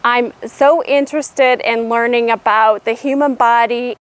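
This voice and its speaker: A woman's voice: on the high side, steady tone, unhurried. A woman in her forties, enthusiastic and chatty, speaking outdoors on a lapel mic. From Northern Indiana. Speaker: hotel interior designer. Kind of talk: testimonial interview. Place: outdoors by a log cabin.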